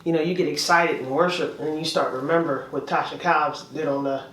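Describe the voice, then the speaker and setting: A woman's voice: sits low, fairly even intonation, a little fast, a bit bright, roomy sound, distant mic, poor audio. A woman hosting a casual conversation, friendly and laughing as she asks questions. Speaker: host.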